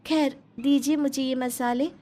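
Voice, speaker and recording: A polite young daughter-in-law played by a woman in a cartoon family tale, with a high voice. She explains herself sweetly, self-assured and matter-of-fact. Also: fairly even intonation, unhurried, mellow. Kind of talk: animated family story dialogue.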